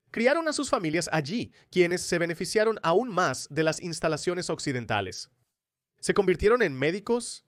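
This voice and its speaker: A man's voice: a little high, no echo, studio quality. A man presenting a scripted political commentary monologue in a polemical, sardonic manner. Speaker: commentator and host of opinion video.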